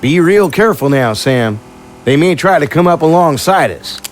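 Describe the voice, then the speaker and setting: A steady small-town sheriff, acted by a man in an audio drama. His voice sits a little high for a man and moves freely up and down. He speaks in folksy, reassuring phrases, like someone used to taking charge. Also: moderate pace, slight background noise, natural voice. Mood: cool, commanding.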